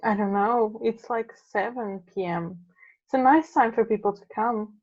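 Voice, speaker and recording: A woman's voice: fairly high pitch, normal speed, darkish tone, muffled recording. A woman making casual, playful side remarks as co-host of an online class. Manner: offhand, playful quips. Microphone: video call mic.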